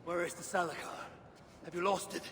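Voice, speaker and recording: An actor playing an imperious, vengeful Norse god warrior in a video game, speaking in terse, formal, old-fashioned demands. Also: male voice, sits high, level tone, fairly quick, a bit bright. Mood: urgent, demanding. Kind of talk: dramatic video game acting. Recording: clearly noisy game cutscene audio.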